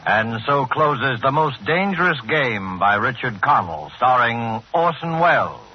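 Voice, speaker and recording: A man's voice: medium pitch, very animated, unhurried, noisy background. A man narrating as a formal, dramatic announcer in 1940s radio style, reading a scripted closing. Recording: muffled 1940s radio broadcast, loud music.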